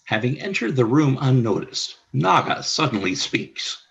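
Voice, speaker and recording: A man's voice: medium pitch, sing-song, unhurried, gravelly, fairly bright tone, slightly rough, muffled recording. A man reading the stage directions of a radio play in a plain, matter-of-fact way. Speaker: narrator.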